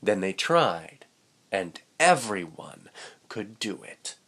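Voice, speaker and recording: A young man reads a storybook to children in an expressive, playful way. His pace is unhurried and his intonation rises and falls widely, with casual asides along the way. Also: fairly low pitch, a little hiss or hum. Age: young adult. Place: indoors, small room with curtain.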